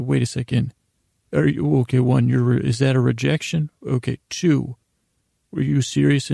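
A middle-aged man hosting a bedtime podcast, rambling whimsically in a creaky voice, often halting and losing his thread. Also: mid-range voice, no echo, clean studio sound. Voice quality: creaky, gravelly, mellow voice. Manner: meandering, halting, trails off mid-thought, whimsical. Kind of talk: rambling, improvised bedtime monologue to listeners.

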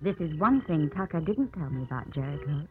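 A woman's voice: low voice, big pitch swings, brisk. Genteel poised lady, tinny radio. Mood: softly flirtatious. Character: poised, flirtatious newlywed lady, gracious and forward. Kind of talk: 1950s radio drama acting. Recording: tinny 1950s radio recording.

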